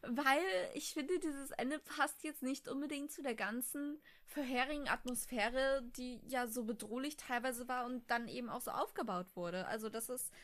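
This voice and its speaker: A woman's voice: high-pitched, moderate pace, hardly any pauses, fairly bright tone. A woman on a podcast panel, giving her opinions in casual conversation. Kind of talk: casual chat.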